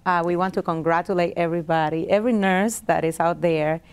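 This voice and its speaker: Clear, smooth-voiced woman hosting an interview. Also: fairly low pitch, fairly even intonation, a little fast. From Puerto Rico. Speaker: substitute host, public health worker. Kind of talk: warm conversational interview hosting.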